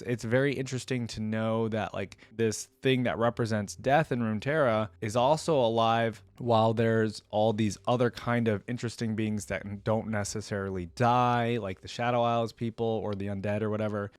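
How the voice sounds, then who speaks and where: A man's voice: medium pitch, dry recording. A man hosting a reaction video, reading aloud and adding casual commentary as he thinks it through in a conversational way.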